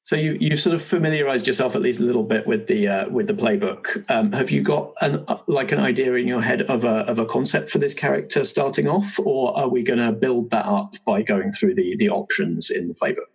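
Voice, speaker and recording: A man's voice: mid-range voice, brisk, gravelly, hardly any pauses, husky, muffled recording. A man hosting a podcast in a casual, chatty style, recorded remotely at home. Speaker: host.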